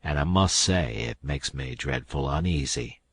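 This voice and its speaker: A man voicing a worried old farmer who speaks low and slow. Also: a bit bright, slightly rough, exaggerated voice. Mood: uneasy.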